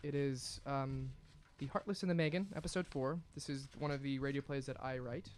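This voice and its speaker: A woman's voice: extremely low pitch, steady tone, brisk, a little mellow. Young woman host, noisy recording. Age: young adult. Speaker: radio show host and writer. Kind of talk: casual hosting chat. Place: small radio studio.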